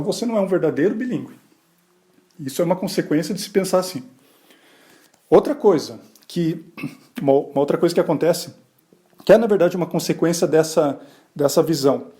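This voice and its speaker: Mellow-voiced man explaining casually. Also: on the high side. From Brazil. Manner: conversational, rhetorical questions, frequent "né?" tags; brief voiced asides acting out other people. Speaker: podcast host. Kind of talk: explanatory solo podcast talk.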